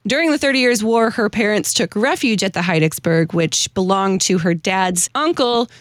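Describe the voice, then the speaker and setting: Female podcast storyteller, chatty and self-deprecating, medium pitch, very dry room. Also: animated, moderate pace, no room sound. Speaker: podcast host. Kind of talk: casual, researched storytelling to co-hosts.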